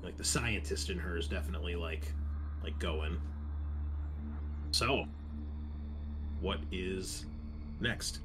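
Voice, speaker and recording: Male game master, lowish pitch, casual halting narration over faint music. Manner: halting, improvised descriptions.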